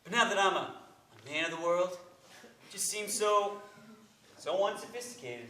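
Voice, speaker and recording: Young army braggart, played by a man: awkward, self-important, sharp bright voice, echoey distant stage sound. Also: high-pitched, lively intonation, moderate pace. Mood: awkward bragging.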